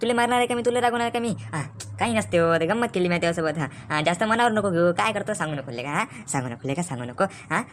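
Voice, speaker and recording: A woman's voice: lowish voice, lively intonation, fast talker, hardly any pauses, a little hiss or hum, close to an everyday voice. A woman voicing a hot-tempered, threatening male tuition teacher in a comic cartoon, with a Vidarbha Marathi accent and scolding, repeated questions. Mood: pleading, appeasing.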